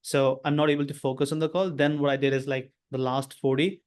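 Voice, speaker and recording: A man's voice: medium pitch, little pitch movement, a little fast, darkish tone. A man, asking a sales coach questions on a video call, searching for his words. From India. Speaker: coaching client.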